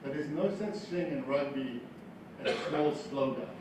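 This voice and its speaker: A man's voice: mid-range voice, normal speed, background noise. South African male speaker, wry and informal business talk, very reverberant room, distant mic. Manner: wry, self-deprecating humor. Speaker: business founder, guest speaker. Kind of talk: informal motivational business speech.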